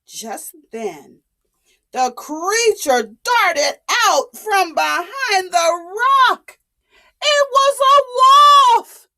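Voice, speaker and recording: A woman storyteller with a high, very animated voice speaks to young children. She takes her time, dramatizing the tale and pausing to explain or ask questions. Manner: dramatic, explains and repeats for small listeners, asks questions.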